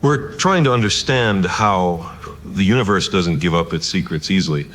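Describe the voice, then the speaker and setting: Male scientist played by an actor, audio drama: very low register, stammering, remorseful, light background noise. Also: expressive, a bit bright. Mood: shaken. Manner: halting, stammering, awed. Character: shaken, guilt-ridden male physicist. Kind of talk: dramatic interview by remote link in audio drama.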